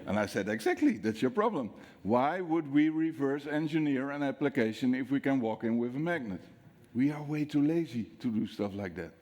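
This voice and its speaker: Lively Dutch man talking in an echoing hall. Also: mid-range voice, moderate pace. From Netherlands. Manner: lively storyteller, acts out dialogue, asks audience questions. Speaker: security speaker. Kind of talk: conference talk to an audience. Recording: long hall reverb.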